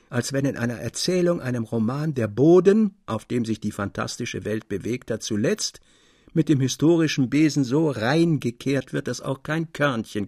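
An actor playing a storyteller among literary friends, speaking in a measured, formal and expressive way. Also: male voice, mid-range voice, no echo, studio quality. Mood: emphatic. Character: male storyteller Theodor, literary friend reading his tales, defending fragments. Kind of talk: literary audio drama narration.

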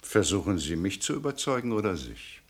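An actor playing a weary, sardonic police inspector in a German radio drama, with a dry, grumbling way of talking. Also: male voice, low voice, sing-song, brisk, exaggerated voice. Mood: bitter, weary.

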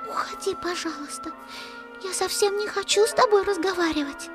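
A small, timid female duck from a storybook audio drama. The voice is extremely high, pleading and hurried, with clear music behind it. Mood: frightened refusal. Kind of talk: audio play fairy-tale acting.

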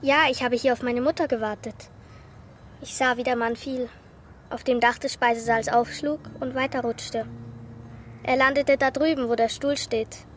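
A woman plays a young girl in a radio play, with a high voice. She is matter-of-fact and insistent, answering frankly and refusing to budge. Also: steady tone, moderate pace, a little hiss or hum, natural voice. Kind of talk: radio drama acting.

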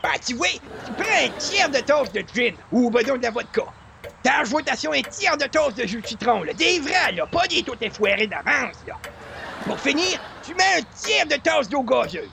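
A man's voice: very high voice, sing-song, normal speed, creaky, background noise, heavily acted. A man playing a spry, folksy old grandpa with a cracked voice and a colloquial Quebec French accent in a radio comedy. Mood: helpful, folksy. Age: older. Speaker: actor in an audio comedy.

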